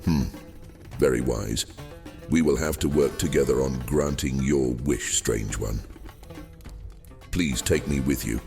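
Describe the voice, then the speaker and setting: Very deep, sly old djinn. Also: male voice, gravelly, a little mellow, exaggerated voice. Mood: wheedling, crafty. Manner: theatrical, silky, hesitant pauses. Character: old, fading, sly captive male djinn, courteous and wheedling. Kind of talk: fantasy audio drama acting. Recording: long cavernous reverb, music underneath. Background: music.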